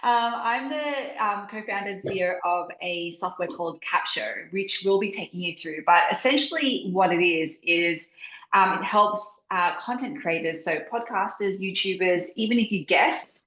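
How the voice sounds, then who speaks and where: A woman with an Australian accent introducing herself on a video call, halting, with many fillers and restarts. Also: medium pitch, animated, fairly bright tone. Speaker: software company co-founder. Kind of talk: casual self-introduction. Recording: echoey, dull video call audio.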